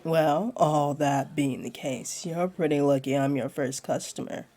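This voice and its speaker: A woman playing a flirty, teasing, laid-back jaguar beastling in a roleplay audio, with a deep, breathy, husky voice and a playful, soothing delivery. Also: a little slow, dark tone. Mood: teasing, reassuring. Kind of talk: roleplay audio acting, addressed to one listener.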